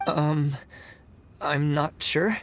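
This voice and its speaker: A man playing a timid, awkward civil servant in a video game, halting nervously through pedantic detail. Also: a little high, a bit bright, slight background noise. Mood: hesitant. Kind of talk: scripted video game dialogue.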